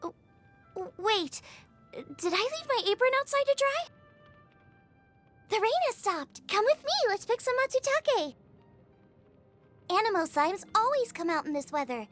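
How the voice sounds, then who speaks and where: A woman voicing a bubbly, eager, food-obsessed young woman chef in an expressive video game style, chatty and full of exclamations. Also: extremely high pitch, unhurried, long pauses, exaggerated voice. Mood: cheerful, inviting. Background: music.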